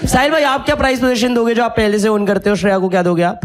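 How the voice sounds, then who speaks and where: High-voiced teasing male comedy host. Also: steady tone, rapid-fire. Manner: teasing, laughs through lines, mixes Hindi and English. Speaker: comedy dating show host. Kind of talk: joking banter with contestants. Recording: loud background noise.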